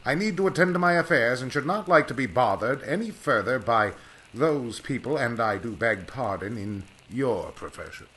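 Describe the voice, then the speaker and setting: Man acting a proud, forceful, formal aviator. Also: medium pitch, animated, moderate pace, lightly acted, low-quality recording. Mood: curt, formal. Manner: formal, old-fashioned diction. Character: proud male aviator home from three lost years, curt, formal. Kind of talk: 1940s radio acting.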